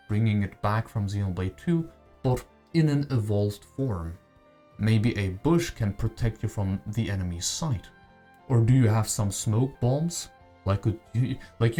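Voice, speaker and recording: A man narrates a gaming video essay in a casual, conversational way. His mid-pitched voice is relaxed and mellow, and he shares opinions freely. Music plays behind him. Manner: conversational, opinionated, rhetorical questions. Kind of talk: casual voice-over commentary. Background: background music.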